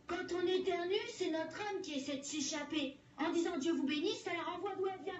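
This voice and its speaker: A woman's voice: very high-pitched, fairly quick. A woman voicing an earnest, naive young boy in a French-dubbed cartoon. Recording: muffled, echoey, distant cartoon playback with music.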